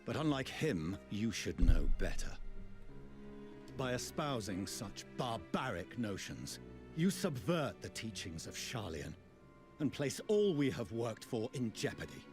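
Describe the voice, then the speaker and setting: Stern, haughty father, low and dramatic. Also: sing-song, a little slow. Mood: stern reproach. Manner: grave, formal, haughty delivery. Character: stern, cold father and scholar disowning his children. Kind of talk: dramatic video game voice acting. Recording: music behind the voice.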